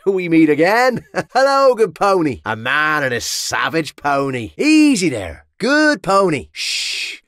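A cheeky Irish sellsword from a medieval battle game. His delivery is theatrical and brash, full of slang, with big swings in pitch. He takes his time over the words, and the sound is dry and close. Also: huge pitch swings, slow pace, fairly bright tone, no echo, exaggerated voice. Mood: soft, affectionate. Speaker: mercenary soldier voice in a video game. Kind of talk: video game battle barks.

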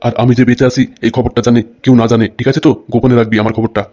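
A man's voice: mid-range voice, flat delivery, racing, mellow, close to an everyday voice. A man playing a bossy, foul-mouthed crime boss and politician, scolding and commanding in a comic cartoon dub.

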